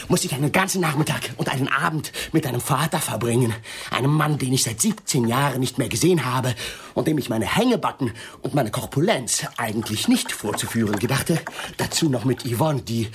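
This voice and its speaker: A man's voice: mid-range voice, breathy edge. An actor voicing a self-pitying, imperious middle-aged mother in a radio drama, with indignant, querulous and grandiose delivery. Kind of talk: radio drama, quoted dialogue.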